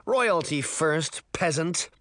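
A man performs a haughty young boy from a children's storybook, boastful and defiant. His voice is pompous, with a raised, animated pitch and a dry sound. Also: slow pace, no echo. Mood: cocky, defiant. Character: cocky, defiant boy, haughty self-styled royal. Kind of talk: children's audiobook character voice.

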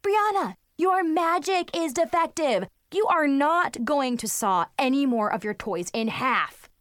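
A woman's voice: high-pitched, big pitch swings, measured pace, dry recording, studio quality, pitched above the performer's own voice. A woman voicing an exasperated schoolgirl big sister in a storybook, bossy and emphatic as she scolds. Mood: exasperated yelling.

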